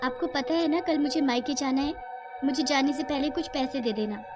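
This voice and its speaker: A woman's voice: sits high, moderate pace, pitched above the performer's own voice, close to an everyday voice. A woman voicing a worried, complaining young wife in a cartoon story, fretful and nagging over music. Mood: expectant.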